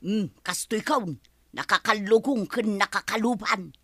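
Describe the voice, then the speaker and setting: A woman's voice: mid-range voice, unhurried, fairly bright tone, husky, dry recording, clean studio sound. A woman playing a feisty, nosy village gossip in an Ilocano comedy drama.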